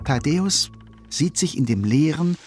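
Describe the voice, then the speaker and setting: A man's voice: a little high, animated, a little slow, darkish tone, faint music behind. A man narrating a children's audio drama in a vivid, dramatic storytelling manner.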